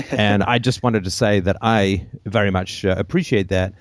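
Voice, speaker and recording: A middle-aged man hosts a call-in show in a low voice, rambling along with jokes and quick asides. He was born in Ireland and grew up in England and Canada. The sound is dry and close, with no room around it. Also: steady tone, dry recording. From born in Ireland, raised in England and Canada. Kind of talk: casual call-in conversation.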